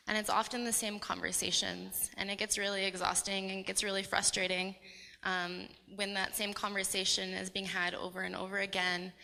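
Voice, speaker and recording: A woman's voice: medium pitch, gravelly, sharp tone. A young woman on a conference panel, speaking passionately and emphatically about advocacy. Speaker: youth panelist. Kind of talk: panel remarks. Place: large conference hall. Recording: hall reverb.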